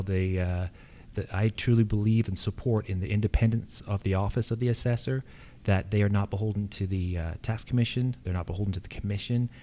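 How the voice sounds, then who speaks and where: Man, American accent, warm relaxed tone, lowish pitch, explanatory, candidate forum answers. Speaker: incumbent candidate for local assessor.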